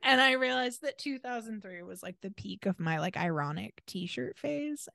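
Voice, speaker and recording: A woman's voice: mid-range voice, lively intonation, normal speed, gravelly, pushed and bright, no echo, studio quality. An American woman co-hosting a podcast, chatting casually in a candid, wry, conversational way. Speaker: podcast co-host. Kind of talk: casual chat.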